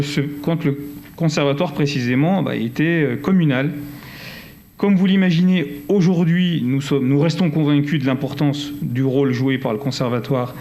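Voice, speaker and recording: A man's voice: mid-range voice, a little mellow. A man with a French accent presenting formally as a local councillor, partly improvised, in a wordy, digressive, self-correcting manner. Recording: faint background noise.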